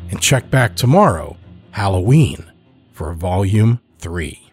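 A man's voice: low voice, big pitch swings, slow pace, breathy edge, faint music behind. A man reading a scripted introduction as the host of a podcast.

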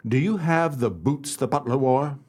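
An actor playing a self-assured, courteous male detective in a radio play, speaking formally and deliberately as he explains. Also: mid-range voice, darkish tone, natural voice. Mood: confident, explanatory. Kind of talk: radio play acting and narration.

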